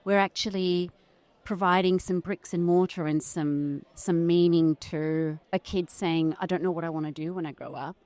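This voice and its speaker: A woman's voice: fairly low pitch, steady tone, normal speed, darkish tone, no echo. A middle-aged woman from Sri Lanka, a guest in a podcast conversation, speaking in a thoughtful, articulate way and often checking in with "right?". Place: conference venue.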